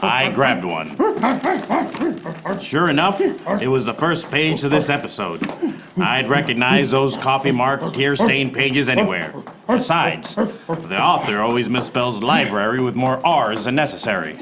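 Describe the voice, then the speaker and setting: An actor playing a hapless, exasperated private eye, narrating deadpan in a parody noir radio play. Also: male voice, mid-range voice, unhurried, noisy background. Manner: deadpan hard-boiled narration.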